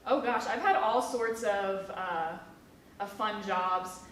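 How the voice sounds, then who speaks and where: A woman's voice: mid-range voice, takes it slowly, a little hiss or hum. A young woman in her twenties from Kentucky, chatting casually in an interview with many fillers. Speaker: museum education specialist. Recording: echoey room from a distance.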